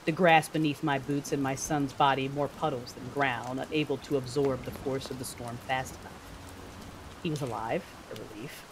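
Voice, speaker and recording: A woman's voice: a bass voice, steady tone, moderate pace, slight background noise, rough recording. A woman playing an intense, battle-hardened Sith mother who narrates her own story theatrically in an audio drama. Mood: brooding, tense. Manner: brooding, theatrical, emphatic outbursts. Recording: music bed with rain sounds behind.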